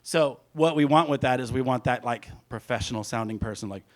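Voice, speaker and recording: A man's voice: medium pitch, a little fast. A man, a music producer, giving an informal, rambling hands-on presentation, talking through each step aloud as he goes. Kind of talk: casual hands-on audio production talk.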